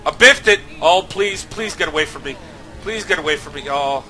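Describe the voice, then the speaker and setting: A man commentating his own racing game playthrough, slightly sick and nasal, swearing often with sudden loud outbursts. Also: on the high side, normal speed, soft background music. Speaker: let's play host. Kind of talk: casual gameplay commentary.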